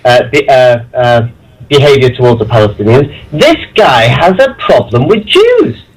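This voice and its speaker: A man's voice: mid-range voice, big pitch swings, moderate pace, husky, low-quality recording. A man from Leeds, calling in to a radio show and speaking emphatically and indignantly. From England (Leeds). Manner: emphatic, indignant, uses stock phrases. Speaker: caller. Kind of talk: phone-in call.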